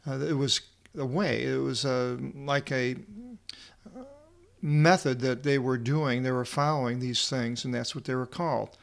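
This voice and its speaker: A man giving an informal verse-by-verse Bible lecture as a teacher, reading aloud and explaining word by word in short, halting phrases. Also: mid-range voice, fairly even intonation, vocal fry. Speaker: Bible teacher and translator presenting a video seminar.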